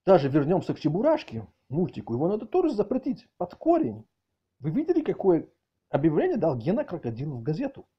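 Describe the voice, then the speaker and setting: A middle-aged man with a husky voice doing a mock-outraged comic rant, halting and repeating words as he exclaims. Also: fairly high pitch, wildly swooping pitch. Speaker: video channel host. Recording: dull lo-fi audio, faint music behind.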